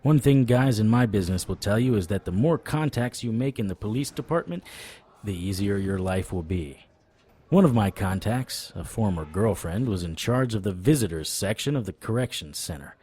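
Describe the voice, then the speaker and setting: An actor playing a wry, tough supernatural private investigator in a noir audio drama, with a deadpan, sardonic delivery. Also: male voice, on the low side, moderate pace, slightly rough. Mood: wry narration.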